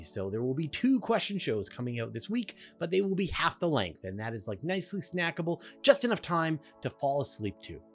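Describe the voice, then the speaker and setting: A man hosting a question-and-answer show, explaining things in an emphatic, informal, conversational way. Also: on the high side. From Canada.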